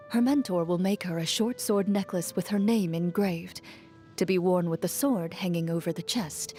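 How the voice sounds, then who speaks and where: A woman playing a composed, principled champion duelist in a video game, speaking in a measured, formal, dryly understated manner. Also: medium pitch, mellow, lightly acted. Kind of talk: video game character voice lines. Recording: music behind the voice. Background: music.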